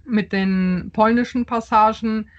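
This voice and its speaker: A woman's voice: on the high side, steady tone, slow, lo-fi sound. A woman who grew up speaking Polish first, an author answering interview questions thoughtfully, with frequent fillers and restarts.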